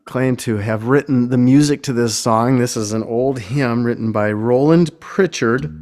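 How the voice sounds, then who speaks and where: A man's voice: medium pitch, a little slow, a little mellow, faint music behind. American male singer-songwriter, casual between-songs talk, hesitant and unassuming. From Minnesota, United States. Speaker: singer-songwriter, guest performer. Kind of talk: casual, informal song introduction.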